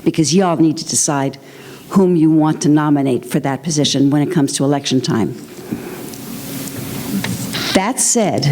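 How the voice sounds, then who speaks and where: Mellow-voiced woman candidate, faint room noise. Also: low voice. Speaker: city council candidate. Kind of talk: forum closing statement.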